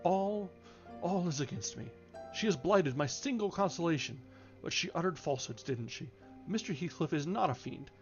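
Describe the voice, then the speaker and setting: A man's voice: fairly high pitch, animated, moderate pace, lo-fi sound, soft background music. A man voicing a lovesick, indignant young woman from a novel in impassioned, plaintive outbursts.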